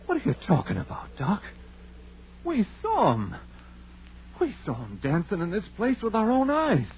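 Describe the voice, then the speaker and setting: An actor playing a timid, superstitious country sidekick in old-time radio horror, with a folksy, hesitant way of talking. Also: male voice, high-pitched, wildly swooping pitch, moderate pace, exaggerated voice. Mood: alarmed. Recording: tinny, noisy vintage radio recording.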